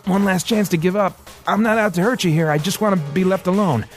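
A man's voice: medium pitch, expressive, a little fast, faint music behind, natural voice. A man voicing a nervous, wisecracking young fugitive hero in an audiobook, stammering and bargaining in a casual way. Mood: strained pleading. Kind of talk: audiobook character voice.

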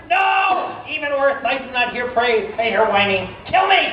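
A woman's voice: fairly high pitch, very animated, moderate pace, a faint crowd, a little hiss or hum. A woman voicing a theatrical, self-pitying clockwork tailor machine in an audio drama. Heard in a distant, roomy, dull recording. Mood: wounded pride.

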